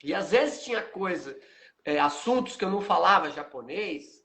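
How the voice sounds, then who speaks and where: A man hosting a live interview, telling stories with lively asides. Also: high-pitched, moderate pace. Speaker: host, martial arts teacher.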